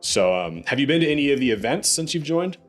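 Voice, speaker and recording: A man's voice: medium pitch, very animated, fast talker, faint music behind. A young man hosting a podcast in casual interview chat, upbeat and calling his guest "dude" and "bro". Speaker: podcast host.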